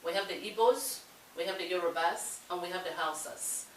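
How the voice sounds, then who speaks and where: A woman's voice: lowish voice, a little fast. A woman from Nigeria with a Nigerian accent, co-hosting a talk show and making her points in an earnest, emphatic way. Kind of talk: casual discussion. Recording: echoey room with light hiss, mic distant.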